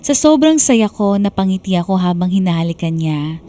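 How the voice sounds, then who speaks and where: A woman's voice: on the low side, big pitch swings, fairly quick, mellow. A woman voicing a sentimental young woman narrating her love story in a Filipino radio drama. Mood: smitten, sentimental. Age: young adult. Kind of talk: scripted dramatic first-person narration.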